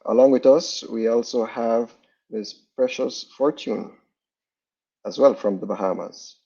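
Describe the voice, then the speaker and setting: Guy running an online training, gravelly husky voice, dull lo-fi call audio. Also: mid-range voice, a little slow, warm tone. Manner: formal, reads announcements and introduces team. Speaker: training host. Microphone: video call.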